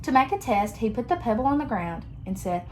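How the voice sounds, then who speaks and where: A young woman reading a picture book aloud to children, expressive and pausing to ask them questions. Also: a little high, slight background noise. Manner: expressive, asks listeners questions. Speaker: children's story reader.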